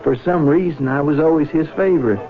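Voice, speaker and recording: A gentle young farm husband, played by a man, devoted and a little hesitant, with a fairly high voice. It is old radio drama, very muffled. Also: fairly quick, music in the background, natural voice. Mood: tender, intense. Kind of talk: 1970s radio drama acting.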